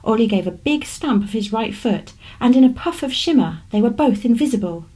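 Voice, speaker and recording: A woman with a British accent reading a children's story aloud in an expressive storytelling manner. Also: fairly high pitch, a little fast, breathy edge. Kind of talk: scripted children's story narration.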